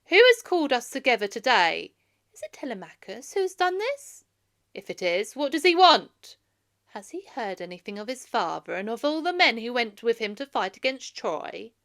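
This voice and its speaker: A woman voicing a wise, very old man who addresses an assembly in a loud, declamatory way, in a storybook. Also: on the high side, big pitch swings, normal speed, voice pushed up. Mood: demanding.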